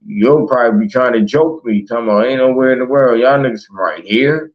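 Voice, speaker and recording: A man's voice: mid-range voice, brisk, muffled recording. A man in casual, passionate conversation as a talk show host, speaking emphatically with slang and swearing. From Baltimore, United States.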